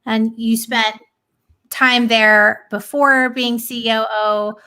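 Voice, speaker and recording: A young woman hosting an interview, asking questions in a friendly, affirming way with some hesitant pauses. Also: fairly high pitch, level tone, sharp tone, clean tone. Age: young adult. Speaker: interview host.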